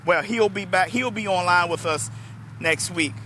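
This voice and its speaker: A middle-aged man from Washington, D.C., a motivational speaker, talking hoarsely and chattily to viewers on a phone held at arm's length outdoors. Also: high-pitched, moderate pace, a little hiss or hum. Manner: relaxed, chatty, addresses viewers by name. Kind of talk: live-streamed talk to viewers. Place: outdoors in a parking lot under trees.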